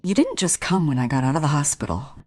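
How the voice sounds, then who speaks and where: A distressed wife in a radio drama, brooding and halting. Her voice is low, husky and breathy, and swings widely in pitch as she presses her suspicions. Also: very animated, a little mellow. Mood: accusing. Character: distressed married woman confronting a man she suspects. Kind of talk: radio play acting.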